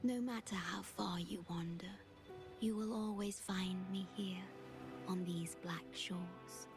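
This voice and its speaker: An actress playing a serene, mysterious guardian in a video game, speaking in a gentle, solemn, breathy way. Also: female voice, medium pitch, steady tone, slow. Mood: tender, solemn. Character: serene, mysterious female guardian, tender and patient. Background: game music.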